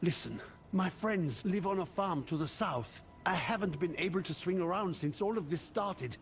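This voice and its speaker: Humble worried villager, slightly high pitch, game dialogue, faint music behind. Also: male voice, expressive, moderate pace. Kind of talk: video game character dialogue.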